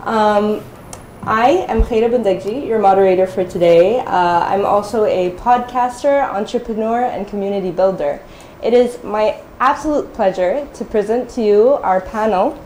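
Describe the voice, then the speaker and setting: Female host for a live panel, slightly high voice, echoey recording with faint noise. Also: moderate pace, warm tone. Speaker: moderator, MBA student and podcaster. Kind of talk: hosting a live-streamed panel discussion. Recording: roomy echo, faint background noise.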